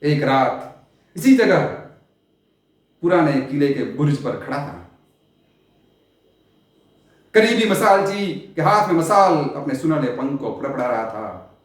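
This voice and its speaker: Echoing room, male stage actor as brooding eccentric sultan, slow declamatory delivery, poetic diction, world-weary grandeur. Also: fairly high pitch, sing-song. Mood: nostalgic, rapt. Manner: theatrical, declamatory, with long dramatic pauses and swells. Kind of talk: Hindi stage play monologue, poetic Urdu diction. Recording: very echoey, reverberant room.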